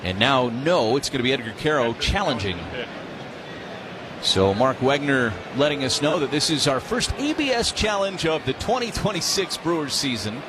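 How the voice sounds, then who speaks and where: A man's voice: mid-range voice, moderate pace. A man calling live baseball play-by-play as a radio announcer. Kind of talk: live radio sports commentary. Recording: steady ballpark noise behind voice. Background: outdoor stadium ambience.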